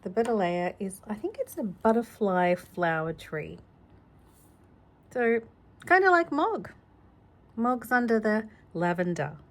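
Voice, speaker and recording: A woman reads a picture book aloud at a very unhurried pace, in a mid-pitched voice. She is gentle and conversational, drifting between the text and her own informal asides to a child. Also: lively intonation. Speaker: storybook reader.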